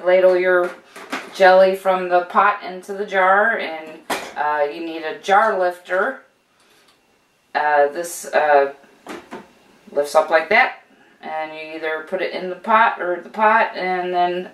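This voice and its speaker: A woman's voice: fairly low pitch, distant mic, a little hiss or hum. An older woman hosting a casual homesteading how-to, chatting encouragingly as she shows gear, with plenty of fillers and restarts. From United States. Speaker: homesteading channel host. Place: home kitchen with steel counters, indoors. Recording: roomy echo, voice off-mic.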